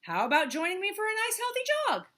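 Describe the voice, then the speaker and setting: A woman voicing a pushy, health-crazed male hippo in a storybook, loud, breathless and overeager. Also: extremely high pitch, wildly swooping pitch, fast, pitched above the performer's own voice, exaggerated voice. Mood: eager.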